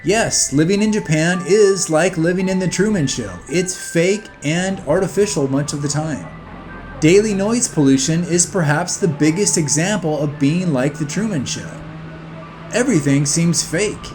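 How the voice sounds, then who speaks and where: Higher-voiced man, blunt opinion narration. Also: moderate pace, darkish tone, soft background music. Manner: blunt, emphatic, scornful. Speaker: video narrator.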